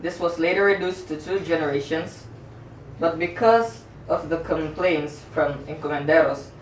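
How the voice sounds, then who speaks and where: A man's voice: on the high side, normal speed, slight background noise, close to an everyday voice. A young man with a Filipino accent playing a strict history teacher in an amateur puppet show, reciting formally. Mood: formal, stern. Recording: echoey room, distant microphone.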